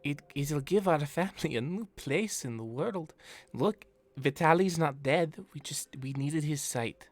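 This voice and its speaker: A man's voice: a little high, animated, unhurried, few pauses, pitched above the performer's own voice. A man voicing a zealous horned woman cultist with a put-on Russian accent during a tabletop game. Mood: fervent. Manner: pleading, fervent, persuasive.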